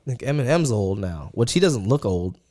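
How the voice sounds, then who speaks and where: A man's voice: lowish voice, very animated, normal speed, mellow. A man in his twenties hosting a podcast, chatting casually with lots of fillers and repeated words. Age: 20s. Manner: rambling, full of fillers and repeats. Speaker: podcast host. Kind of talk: casual chat.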